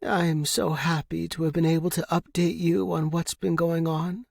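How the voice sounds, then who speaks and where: Elderly society widow, voiced by a man, tender and formal, raised pitch, for an audiobook. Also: little pitch movement, moderate pace, creaky, darkish tone, dry recording, clean studio sound. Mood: tender, weary. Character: elderly wealthy widow, gracious, wistful and loving.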